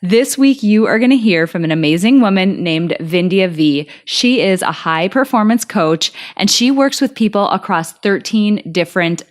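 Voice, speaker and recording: A woman's voice: mid-range voice, lively intonation, normal speed, few pauses. A woman hosting a podcast interview, enthusiastic and upbeat. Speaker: podcast host.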